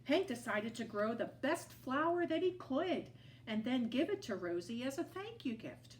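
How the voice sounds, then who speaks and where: A woman reading a children's story aloud to toddlers in an expressive storytelling style. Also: sits high, slight background noise. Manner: slow, animated read-aloud.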